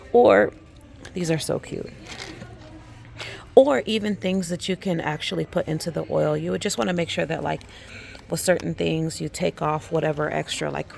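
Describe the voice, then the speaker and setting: A woman hosting her skincare channel, hoarse and losing her voice, chatting casually with lots of ums and likes as she walks through a craft store. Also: fairly low pitch, a little hiss or hum. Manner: chatty, many fillers like um and like. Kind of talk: casual shopping vlog walkthrough.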